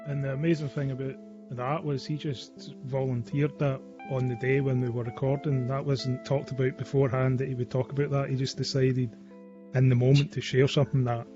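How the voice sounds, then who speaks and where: A man of medium pitch reminisces in a casual, conversational way. He sounds slightly muffled over a group call, with plucked guitar music clearly audible behind him. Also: little pitch movement, a little fast. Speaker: interviewer in podcast group.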